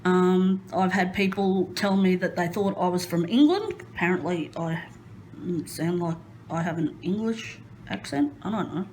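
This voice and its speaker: A nervous Australian woman talks slowly and unhurriedly, filling her pauses with ums and soft self-conscious laughter. She chats casually about herself as she works at a craft table indoors, recorded on a phone. A faint background hiss sits under her voice. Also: lowish voice, rough recording. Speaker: crafting vlogger. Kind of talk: nervous casual chat while diamond painting.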